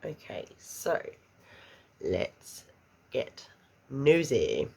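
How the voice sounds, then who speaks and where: A woman's voice: a bass voice, big pitch swings, drawn-out words, creaky, a little mellow, breathy edge. A woman giving a pick-a-card tarot reading, chatty and a little congested, murmuring questions to spirit under her breath. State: congested after an illness. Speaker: tarot reader.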